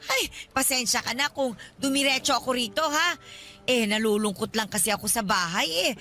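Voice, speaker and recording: A woman's voice: fairly high pitch, brisk, pushed and bright, soft background music. An actress playing a pushy, needy elderly godmother in a Filipino radio drama, wheedling and insistent as she talks. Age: older.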